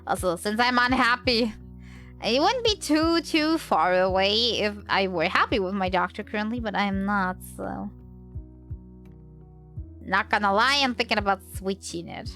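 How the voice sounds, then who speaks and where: A woman's voice: mid-range voice, animated, normal speed, sharp tone, soft background music. A woman livestreamer with a slight cold, chatting casually in a hesitant, rambling way.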